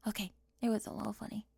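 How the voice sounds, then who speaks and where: Woman, mid-pitched, gravelly edge, dry close whispers, teasing roleplay delivery. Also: flat delivery, quick pace, no room sound. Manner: teasing, sharp-tongued, moving between whispers and soft speech. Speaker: ASMR roleplay performer.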